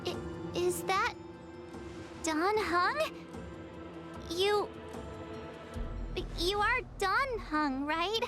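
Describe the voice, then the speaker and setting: Casting: upbeat young crew girl, bright high voice, game score underneath. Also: expressive, extremely slow, pauses often, heavily acted. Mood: perky. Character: bubbly young woman crewmate. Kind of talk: video game voice acting. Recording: game audio over music.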